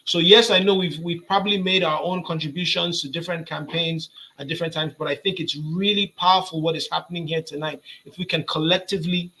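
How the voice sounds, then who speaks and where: A young man speaks on a video call with a bright, forceful voice pitched a touch high. He urges and repeats his points with steady energy, like a rally speaker. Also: brisk, few pauses, rough recording. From Nigeria. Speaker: guest speaker.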